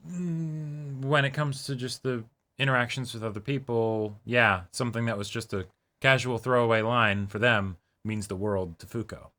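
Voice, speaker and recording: A man's voice: medium pitch, fairly even intonation, moderate pace, bright, forceful tone. A young man co-hosting a casual anime discussion in loose, trailing conversational phrases. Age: young adult. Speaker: co-host. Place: small indoor room set up for filming.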